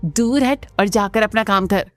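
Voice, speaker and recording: A woman's voice: mid-range voice, measured pace, dry recording, clean studio sound, natural voice. A woman voicing a mean older sister in a family cartoon, scornful and sarcastic. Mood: mocking. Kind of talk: Hindi family cartoon dubbing.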